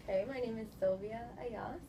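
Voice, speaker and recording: A woman from California in her thirties, answering interview questions in a relaxed, chatty way with lots of fillers. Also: on the high side, normal speed, gravelly. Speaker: guest. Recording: noisy indoor recording.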